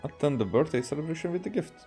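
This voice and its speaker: A man from Hungary, a native Hungarian speaker, streaming a game with casual, halting commentary. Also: on the high side, lively intonation, dark tone. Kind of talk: casual game commentary, reading dialogue aloud. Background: game music.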